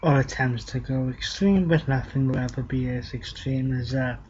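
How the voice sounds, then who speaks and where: A man co-hosting a casual wrestling talk show, relaxed and unhurried, with filler pauses. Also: mid-range voice. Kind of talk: casual chat. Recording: dull lo-fi sound with faint hiss.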